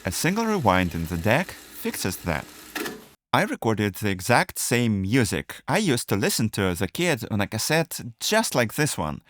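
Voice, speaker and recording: A man's voice: lowish voice, wildly swooping pitch, moderate pace, a bit bright, no echo. A man narrating a scripted do-it-yourself tutorial, explaining each step methodically. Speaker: video host explaining a DIY procedure.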